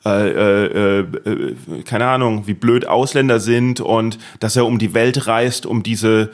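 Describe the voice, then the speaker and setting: A man's voice: lowish voice, takes it slowly. A man hosting a podcast, interviewing a guest in casual chat, telling rambling, self-mocking stories. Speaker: podcast host.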